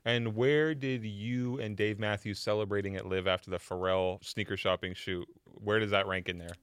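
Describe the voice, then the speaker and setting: A man co-hosting a podcast in casual chat, quick and teasing. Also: fairly low pitch, fairly even intonation, fairly bright tone, clean tone, no echo, studio quality. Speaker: podcast co-host. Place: studio.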